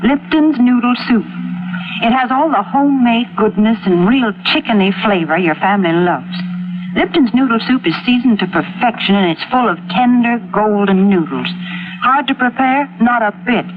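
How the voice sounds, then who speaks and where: A woman's voice: on the high side, expressive, moderate pace, narrow, tinny audio. Cheerful woman announcer, scratchy old radio. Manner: cheerful, folksy, persuasive. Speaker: commercial announcer. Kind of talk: 1940s radio advertising pitch.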